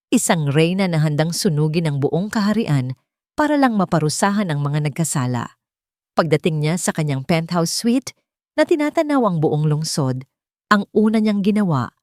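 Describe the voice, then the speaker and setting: A woman reading scripted dramatic narration as a storyteller, in measured short phrases. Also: low voice, a little mellow, no room sound, clean studio sound.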